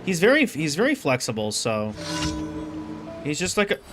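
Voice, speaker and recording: A man's voice: on the high side, huge pitch swings, normal speed, music in the background. A man giving casual, rambling game commentary, thinking aloud as he streams.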